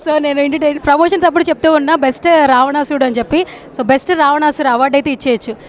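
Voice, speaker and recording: A woman with a Telugu accent interviewing guests in a lively, chatty way at a film premiere. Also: sits high, quick pace. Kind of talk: lively red-carpet interview chat. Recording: light crowd chatter behind.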